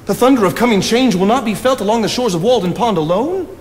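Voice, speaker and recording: An earnest, brooding writer of the 1800s in a stage drama. His voice sits fairly high and he declaims with deliberate conviction. It rings in a theatre with faint background noise. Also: expressive, darkish tone, big-room sound. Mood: impassioned, foreboding. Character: earnest, idealistic 19th-century writer, brooding and impassioned.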